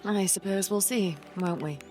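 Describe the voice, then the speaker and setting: A woman's voice: medium pitch, normal speed, a little mellow, faint music behind, lightly acted. A woman playing a stern, commanding pirate captain with a formal, firm manner, in an audio adventure drama. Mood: composed, commanding.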